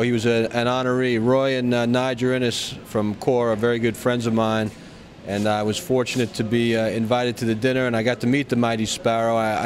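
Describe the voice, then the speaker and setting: Halting man in a casual, lightly noisy interview. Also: medium pitch. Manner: halting, hesitant phrasing with frequent stops. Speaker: actor being interviewed.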